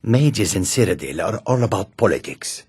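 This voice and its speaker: Deep, husky, self-assured catfolk adventurer. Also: male voice, lively intonation, normal speed, darkish tone, no room sound. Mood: proud, confident. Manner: self-assured, formal, speaks of himself in third person. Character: proud, courteous male catfolk mage and warrior. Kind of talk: video game character dialogue.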